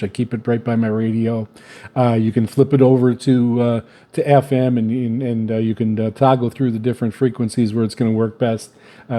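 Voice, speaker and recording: A man casually reviewing radio gear on his channel, speaking off the cuff in a halting, repetitive way with frequent stammers and filled pauses. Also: medium pitch, warm tone. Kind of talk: casual unscripted product review.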